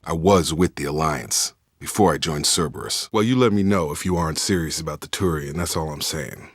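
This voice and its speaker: A man's voice: extremely low pitch, fairly quick, slightly rough, no echo, studio quality. A man playing a loyal, guarded young soldier in a sci-fi video game, speaking in an earnest, controlled, matter-of-fact way. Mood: firm, frank.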